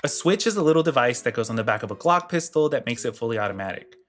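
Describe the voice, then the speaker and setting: A man's voice: medium pitch, lively intonation, fast talker. A man reading a scripted commentary voice-over, blunt and sarcastic. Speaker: commentary channel host.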